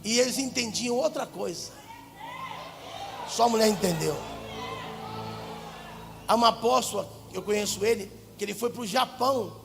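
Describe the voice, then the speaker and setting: A Brazilian Portuguese-speaking male pastor preaching fervently, swinging between shouted lines and quiet asides in a large church. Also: high-pitched, moderate pace, gravelly, pauses often. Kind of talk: preaching a sermon. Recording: hall reverb with faint music.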